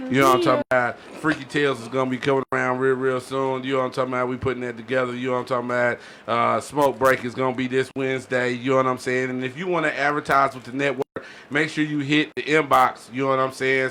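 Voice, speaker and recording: A man hosting a laid-out podcast segment in loose, slangy conversation. His voice is bright and forward at a middle pitch, and he keeps tagging sentences with little check-in phrases. There is light noise behind him. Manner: repeats "you know what I'm saying" tags. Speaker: podcast host. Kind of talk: casual podcast talk with slang. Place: podcast studio.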